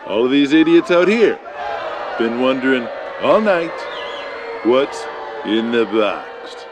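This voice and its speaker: Cocky 80s wrestling heel promo, sneery, real slow and showy, big crowd noise behind. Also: male voice, mid-range voice, animated. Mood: sneering. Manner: boastful, sneering, drawn-out showman delivery. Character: arrogant flamboyant wrestling champion cutting a taunting promo. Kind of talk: 1980s TV wrestling promo acting. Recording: loud noisy studio crowd behind.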